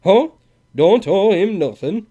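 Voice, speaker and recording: A man's voice: high-pitched, animated, takes it slowly, warm tone, pitched above the performer's own voice, exaggerated voice. A man voicing a swaggering, insolent male traveler who jeers and mocks. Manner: insolent, mocking.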